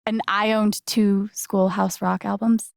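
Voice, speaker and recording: Young woman, mid-pitched, unhurried, friendly, wry, conversational game dialogue, very dry studio sound. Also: little pitch movement, gravelly, no room sound. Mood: amused. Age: young adult. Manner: casual, dryly joking, conversational. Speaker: video game voice actress.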